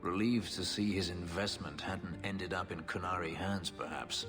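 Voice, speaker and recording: A man's voice: low voice, steady tone, moderate pace, in a large hall, music in the background, natural voice. An actor playing a brooding, wry male escaped slave who tells his story dryly in a fantasy video game. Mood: reflective, bitter. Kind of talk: cinematic game dialogue, storytelling.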